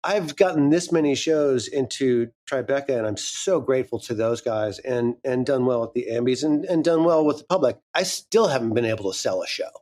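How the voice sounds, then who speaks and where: A man's voice: medium pitch, fairly quick, hardly any pauses, darkish tone. A man in his fifties, a guest speaking frankly and candidly in a casual interview, swearing now and then. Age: 50s. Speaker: guest, audio drama creator.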